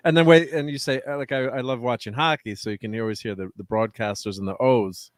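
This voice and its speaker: A man's voice: mid-range voice, steady tone, fast, no echo. A man from the New area, co-hosting a casual livestream, rambling and chuckling with lots of "you know"s. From New York area. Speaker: co-host.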